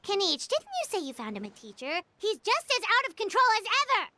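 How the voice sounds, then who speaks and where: Squeaky, excitable little fairy companion. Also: female voice, slight background noise, heavily acted. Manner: childlike, excitable, refers to self by name. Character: small childlike flying fairy companion, excitable and blunt. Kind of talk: video game character acting.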